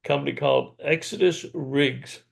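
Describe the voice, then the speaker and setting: A man with an American accent and a gravelly, creaky voice co-hosts a news show. He talks casually at a middling pitch, trading remarks like a regular presenter. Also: normal speed. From New England, USA.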